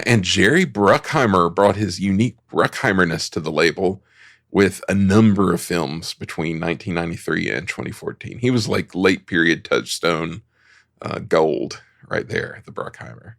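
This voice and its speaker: Low creaky guy doing a casual history rundown on a podcast, wry asides. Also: fairly bright tone, dry recording, studio quality. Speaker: podcast panelist. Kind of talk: informal history presentation.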